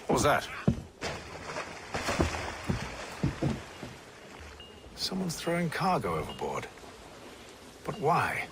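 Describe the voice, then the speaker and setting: A man playing a composed, courteous gentleman passenger in a video game, asking measured, formal questions. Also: mid-range voice, wildly swooping pitch, very noisy. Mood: watchful.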